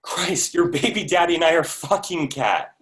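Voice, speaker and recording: A man's voice: fairly high pitch, animated, normal speed, a bit bright. An actor playing an emotional, bitter and sarcastic man in a staged play reading. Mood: bitter, confrontational. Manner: emotional, sarcastic, stammers when worked up. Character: volatile, bitter man caught in a love affair.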